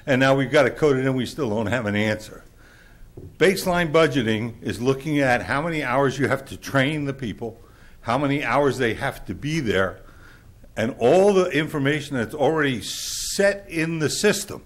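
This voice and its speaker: A man with a loud voice giving a blunt, exasperated public comment at a council chamber podium, lecturing the council. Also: mid-range voice, expressive, normal speed.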